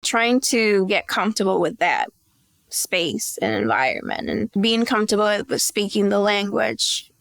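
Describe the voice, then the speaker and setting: A young woman from the Dominican Republic, speaking English as a second language, giving relaxed, thoughtful interview answers as a guest. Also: medium pitch, measured pace, gravelly, no echo. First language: Spanish. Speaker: guest, beauty brand founder.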